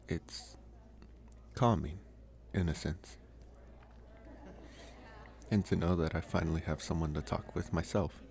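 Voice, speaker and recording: Very deep, hesitant young male vampire, acted by a man. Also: vocal fry, exaggerated voice. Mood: relaxed, amused. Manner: hesitant, halting, softly spoken with long pauses. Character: gentle lonely young male college vampire, soft-spoken and shy. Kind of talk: ASMR roleplay, intimate character acting.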